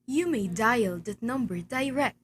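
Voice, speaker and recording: A woman's voice: fairly high pitch, expressive, unhurried, husky. A young woman playing a polite, unmoved telephone operator in a radio play, speaking in formal set phrases. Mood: polite, impassive. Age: teenager.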